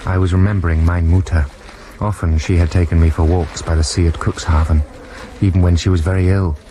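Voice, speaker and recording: Man playing a low-voiced young German student narrator. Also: flat delivery, fairly quick, mellow, close to an everyday voice. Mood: wistful. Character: young German geology student narrating, timid, earnest, naive. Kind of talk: dramatised first-person narration. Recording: 1990s radio broadcast with noisy effects behind.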